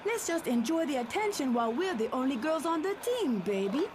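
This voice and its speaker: A woman's voice: sits high, very animated, a little fast. A young woman acting a sassy, teasing superheroine in a motion comic. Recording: clear background ambience noise.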